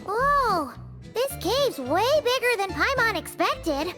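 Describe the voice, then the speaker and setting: Tiny floating sprite girl, game cutscene, very high squeaky voice, sing-song lilt, chiming music behind. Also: very animated, slow, exaggerated voice. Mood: delighted. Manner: speaks of herself in third person, gasps, exclaims. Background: game music with chimes.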